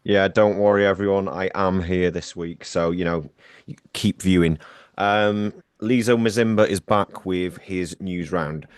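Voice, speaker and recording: Low-voiced man reading the news roundup. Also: steady tone, measured pace, clean tone, no echo, clean studio sound. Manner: chatty, self-deprecating, with fillers. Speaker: podcast co-host presenting news roundup. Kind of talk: casual podcast chat.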